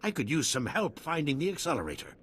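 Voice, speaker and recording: A man's voice: huge pitch swings, a bit bright, slight background noise. Showy, swooping-voiced salesman. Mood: sheepish. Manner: showy sales pitch, joking, theatrical pauses. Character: flamboyant, self-amused male frontier tech salesman, greedy and cowardly. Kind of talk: video game character acting.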